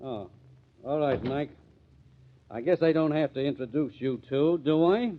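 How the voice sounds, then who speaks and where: A thin, narrow-band old radio sound frames a man acting a blunt police captain. He speaks in a fairly high register, clipped and impatient, in classic mystery drama style. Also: a little slow, slight background noise, lightly acted. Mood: stern. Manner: clipped, blunt, gruff in attitude. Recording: tinny, band-limited old radio recording.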